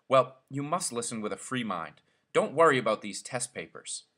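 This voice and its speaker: A man's voice: mid-range voice, expressive, moderate pace, pushed and bright. A man voicing an eager, vain, ingratiating headmaster in an effusive, pressing way for a storybook reading.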